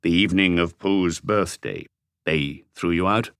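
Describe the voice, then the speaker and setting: A man playing a low, patient police chief. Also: a bit bright, smooth, dry recording, clean studio sound. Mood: calm, probing. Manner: measured, terse questioning. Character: calm, patient male police chief, quietly probing. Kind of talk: audiobook character dialogue.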